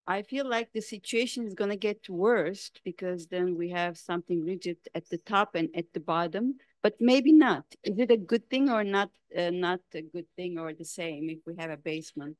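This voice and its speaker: A woman with a somewhat low voice asks a lecturer a question at a public talk. She pauses often and fills the gaps with hesitations. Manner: hesitant, with fillers. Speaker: moderator asking a question.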